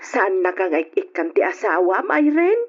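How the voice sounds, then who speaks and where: An actress with an Ilocano accent playing a needy, coaxing older mother with a husky voice in an old radio drama. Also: medium pitch, sing-song, moderate pace. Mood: pleading, coaxing. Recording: dull, lo-fi old radio recording.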